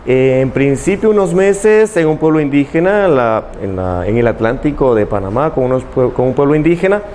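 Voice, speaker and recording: A middle-aged man with a Salvadoran accent, a missionary priest who explains slowly and carefully, closing his phrases with "¿verdad?". His voice sits at a middle pitch, with a faint room hum underneath. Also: lively intonation, darkish tone. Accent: Salvadoran Spanish. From El Salvador, Chalatenango. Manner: measured, explanatory, often ends with "¿verdad?".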